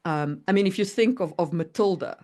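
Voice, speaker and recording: A woman co-hosting a podcast, chatting casually about writing in an animated way and often checking for agreement. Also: on the low side, a little fast. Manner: animated, seeks agreement with "you know what I mean". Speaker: podcast co-host and writer.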